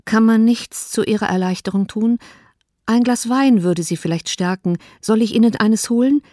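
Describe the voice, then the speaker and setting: A woman reading a gentle, concerned gentleman. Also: a little high, moderate pace, no echo, clean studio sound, pitched above the performer's own voice, close to an everyday voice. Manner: tender, solicitous. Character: grave, compassionate gentleman.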